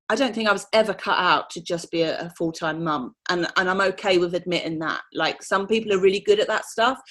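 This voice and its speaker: A woman business mentor giving candid, energetic answers in an interview, often saying "you know". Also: fairly low pitch.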